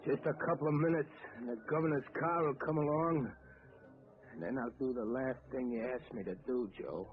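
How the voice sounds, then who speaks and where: An actor playing an unhinged, vengeful sniper who mutters and panics, in a 1940s radio drama. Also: male voice, medium pitch, moderate pace, natural voice. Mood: muttering. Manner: muttering, then panicky and pleading. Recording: tinny old radio broadcast, hiss.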